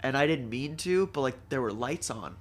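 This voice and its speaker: A young man co-hosting a casual podcast, telling quick stories and laughing often, a little stuffed up. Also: medium pitch, animated. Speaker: podcast co-host. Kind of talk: casual chat.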